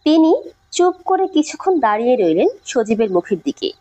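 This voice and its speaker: High, animated woman narrating horror. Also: moderate pace, lo-fi sound. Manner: dramatic, suspenseful. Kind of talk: scripted horror story narration.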